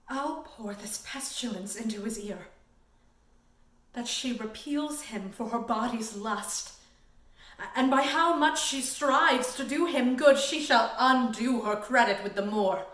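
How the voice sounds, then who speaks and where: A woman's voice: sits high, a bit bright. A woman performing a Shakespearean soliloquy as a scheming, gloating male villain, with sly, theatrical emphasis. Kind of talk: classical Shakespearean stage soliloquy. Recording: roomy echo, distant microphone.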